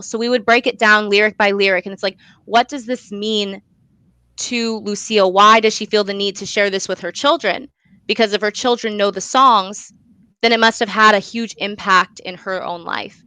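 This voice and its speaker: A woman's voice: medium pitch, moderate pace, pushed and bright, smooth, dry recording. A young woman speaking as an interview guest, earnest and effusive about her own work. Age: young adult. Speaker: guest, student playwright. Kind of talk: enthusiastic interview talk.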